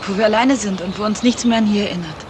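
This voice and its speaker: A woman's voice: medium pitch, fast, lightly acted. A woman playing a coaxing, pert young fiancée in a 1970s radio crime drama. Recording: noisy vintage radio play recording.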